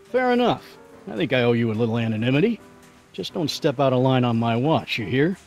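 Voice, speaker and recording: A man's voice: medium pitch, big pitch swings, a little fast, a little mellow, soft background music. An actor playing a downtrodden but earnest young American policeman in a video game, given to self-pity. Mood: friendly.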